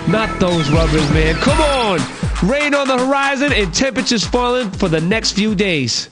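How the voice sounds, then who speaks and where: Casting: brash game-radio hip-hop DJ, high voice, playful and slangy, over music. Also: male voice, lively intonation, moderate pace. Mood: wry, upbeat.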